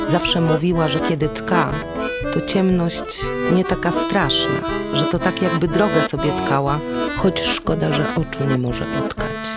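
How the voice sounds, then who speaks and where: A woman narrating a fairy tale expressively, with lyrical, rhythmic phrasing. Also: lowish voice. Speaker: storyteller. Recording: music behind the voice. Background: instrumental music.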